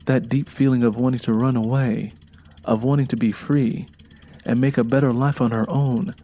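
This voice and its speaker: A man narrating a scripted audio drama in a measured, dramatic storytelling manner. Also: mid-range voice, steady tone, mellow. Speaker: narrator and writer.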